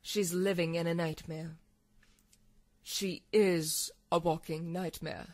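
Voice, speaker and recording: A woman playing a cool, blunt scientist in a horror audio drama, measured and dry. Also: on the low side, fairly even intonation, a little mellow. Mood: clinical, grave. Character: cool, clinical female scientist, sardonic and blunt.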